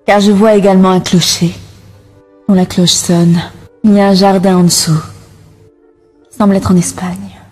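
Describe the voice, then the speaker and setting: Female actor as troubled heroine, raspy, intense, fearful, soft music behind, dramatic film dub. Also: medium pitch, little pitch movement, normal speed, long pauses, lightly acted. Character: troubled, fearful woman haunted by visions.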